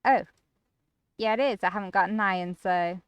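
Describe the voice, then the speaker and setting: A woman playing a gloomy, withdrawn man in a visual novel, with a flat, reluctant, grumbling manner. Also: medium pitch, moderate pace, clean tone, dry recording, dull audio, natural voice. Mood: glum, guarded. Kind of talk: first-person visual novel acting.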